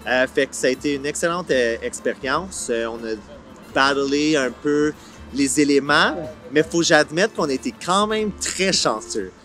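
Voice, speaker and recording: A man's voice: a little high, expressive, normal speed. A man in his forties with a Franco-Ontarian accent, talking casually in an outdoor interview and mixing in English words. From Ottawa, Canada. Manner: colloquial, sprinkles in English words. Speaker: series creator being interviewed. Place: outdoor park film set. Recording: soft music bed under the voice.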